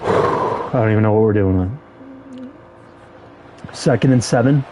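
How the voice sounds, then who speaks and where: A young man streaming a football video game, commenting excitedly and talking to the players on screen. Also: on the low side, unhurried, heavy background noise. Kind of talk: live gameplay commentary.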